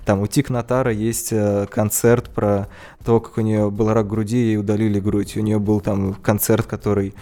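A man's voice: on the low side, little pitch movement, moderate pace, warm tone. A man co-hosting a podcast, musing aloud in casual chat. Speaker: podcast co-host.

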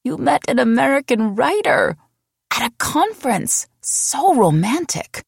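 Woman acting out a high-pitched, gushing romantic girlfriend. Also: very animated, measured pace, creaky, husky, no room sound, studio quality, full character voice. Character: excited, romantic Finnish women friends, gushing and teasing. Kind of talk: audiobook character dialogue.

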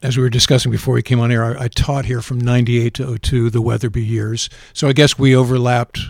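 A man's voice: medium pitch, flat delivery, fast talker, creaky, no echo, studio quality. A middle-aged man hosting a podcast, asking questions in a chatty, friendly way. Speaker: podcast host. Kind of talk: podcast interview.

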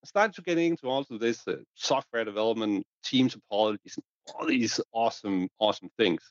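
A man's voice: fairly low pitch, expressive, brisk, no echo. A man speaking as a guest on a panel discussion, repeating words as he builds up his points. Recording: dull lo-fi audio.

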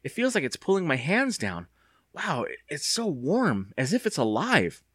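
A man's voice: fairly high pitch, wildly swooping pitch, a little slow, a bit bright, breathy edge, no room sound, studio quality, heavily acted. A man reading a storybook aloud and voicing a pushy, glum young boy who pleads in an exasperated way. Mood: uneasy.

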